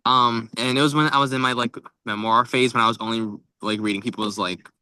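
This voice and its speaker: Young guy on a book podcast, bright forward voice, blunt and chatty, says like a lot, dry sound. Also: medium pitch, steady tone, fairly quick, smooth, no room sound. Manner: blunt, emphatic, frequent "like". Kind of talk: casual book chat.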